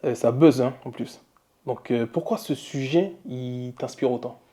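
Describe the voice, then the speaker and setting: Man, interviewer, dark warm tone, relaxed casual questions. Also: mid-range voice, a little slow. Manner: relaxed, laughs along with the guest.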